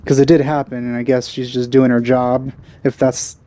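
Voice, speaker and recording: A man hosts a casual gameplay commentary. His voice is mid-pitched, soft-edged and dark in tone. He muses in an understated, tentative way. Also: flat delivery, normal speed, dry recording. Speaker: let's play host.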